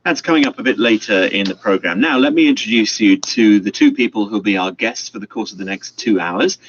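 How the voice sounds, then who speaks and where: A man presenting a radio news programme and introducing guests in a brisk interviewer's manner. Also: lowish voice, slight background noise. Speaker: radio host. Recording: dull lo-fi radio broadcast.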